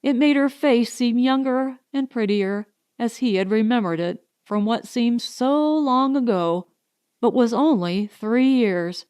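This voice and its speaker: A woman's voice: a little high, a little slow, darkish tone, clear, resonant tone, no room sound, studio quality. A woman narrating a scripted science fiction story as an audiobook reader.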